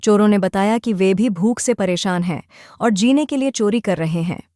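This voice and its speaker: A woman narrating a children's moral story in steady, measured storytelling. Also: mid-range voice, no echo, clean studio sound.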